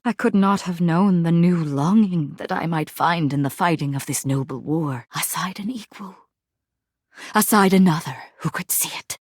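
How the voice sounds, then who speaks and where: A woman playing a proud, brooding, war-weary commander in a video game, speaking in archaic, poetic lines with solemn pauses and heavy melodrama. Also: lowish voice, dry recording, studio quality. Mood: yearning, hushed. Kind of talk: dramatic video game character voice acting.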